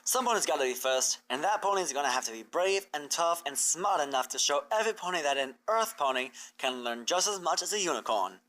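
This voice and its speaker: A folksy farm pony big sister from a cartoon story, acted out by a narrator. She is frank, protective and reassuring, in a bright voice with animated swings of pitch. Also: fairly quick, few pauses, close to an everyday voice. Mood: warmly frank. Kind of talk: cartoon fan fiction character reading.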